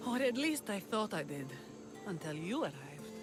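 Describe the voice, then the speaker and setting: A woman playing a brave young rebel leader and huntress in a video game, haunted yet tender, speaking in an earnest, measured, dramatic way. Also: medium pitch, very animated, natural voice. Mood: tender, intimate. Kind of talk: cinematic video game acting. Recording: cinematic music behind the voice. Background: music.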